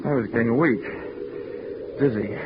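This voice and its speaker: A man's voice: medium pitch, unhurried, background noise, lightly acted. An American actor playing a cool, cocky, wisecracking male private investigator in 1940s radio drama, with a wry, punchy delivery. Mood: wry, tense. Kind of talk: 1940s radio detective narration and acting. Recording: muffled vintage radio recording with music.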